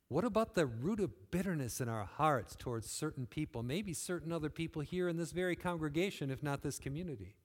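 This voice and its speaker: A man from West Michigan preaching a sermon in a church, earnest, pushing phrases up and then letting them fall quiet. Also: mid-range voice. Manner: earnest, rising and falling emphasis, quiet closing phrases. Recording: long hall reverb.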